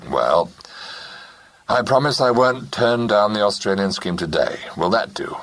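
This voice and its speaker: A man voicing a calm, teasing young Englishman who speaks in a measured, dry way. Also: on the low side, pitched above the performer's own voice, full character voice, poor audio.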